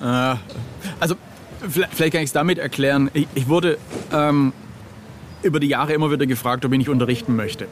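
A man's voice: medium pitch, fairly quick, pauses often, slight background noise. A middle-aged man talking casually as an interview guest, hesitant and drily self-deprecating. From Germany.

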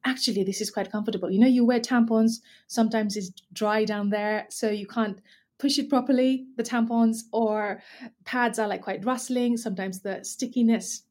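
A woman's voice: fairly high pitch, moderate pace. Hesitant woman from Nepal. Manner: relaxed, hesitant, with many ums and restarts. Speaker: guest, company founder. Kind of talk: casual interview chat. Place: industrial area, indoors.

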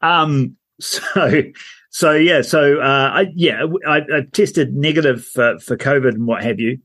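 A man, his voice croaky because he is ill. He speaks at a middle pitch and tells stories in a rambling, self-mocking way as a podcast co-host. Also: a little slow, fairly bright tone. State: croaky from illness. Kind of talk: casual storytelling chat.

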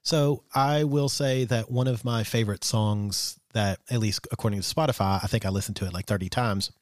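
Podcast co-host, male, lowish voice, loose and chatty, dry close sound. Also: a little fast, no echo. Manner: relaxed, chatty, swears casually, frequent fillers. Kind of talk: casual chat.

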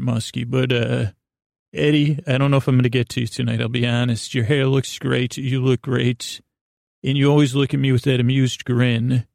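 Man, podcast host, creaky voice, dry close sound, halting delivery, meandering comedic bedtime monologue, easily distracted. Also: medium pitch, darkish tone, no echo.

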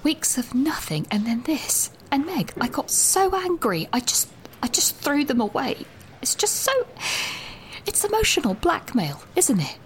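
An actress playing an anxious young student who rambles through a voice note with many restarts, half whispering at first. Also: female voice, fairly high pitch, very animated, moderate pace, in a large hall, a little hiss or hum, poor audio. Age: young adult. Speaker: university student leaving a voice note. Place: small echoey toilet room.

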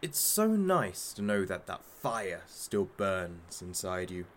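A man's voice: low voice, big pitch swings, takes it slowly, exaggerated voice. An actor playing a masked kidnapper who hosts a sadistic hostage talk show in an audio drama, as a mocking, theatrical showman. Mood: needling.

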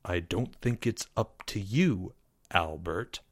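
A man's voice: mid-range voice, lively intonation, slow, no echo, studio quality. A man doing a stern, cold schoolteacher. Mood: stern quiet. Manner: quiet, clipped, controlled.

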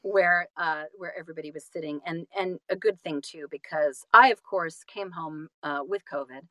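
A woman speaks as a guest in a casual interview. Her voice sits a little low and the sound is very dry. She is effusive, often breaking off and rephrasing as she goes. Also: fairly bright tone, no echo. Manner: effusive, halting and self-correcting. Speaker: interview guest, singer and audiobook narrator.

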